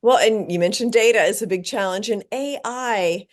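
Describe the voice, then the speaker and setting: A woman's voice: medium pitch, normal speed. A woman hosting an interview, asking questions and summarizing her guest's points. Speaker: interview host.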